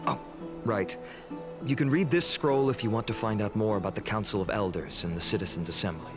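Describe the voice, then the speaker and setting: A gentle, earnest warrior voiced by a man in a story-driven video game, with a fairly low voice. He speaks in measured, formal, courteous phrases, and music plays clearly behind him. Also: natural voice. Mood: courteous, reassuring. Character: gentle, earnest male warrior worried for his comrades.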